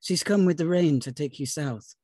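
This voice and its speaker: A woman's voice: sits low, moderate pace, warm tone, breathy edge, dry recording, studio quality. A woman voicing a devout, shrewd older woman of fifty in 1830s Virginia, in terse, folksy pronouncements at a script reading. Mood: solemn, prophetic. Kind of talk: script table read.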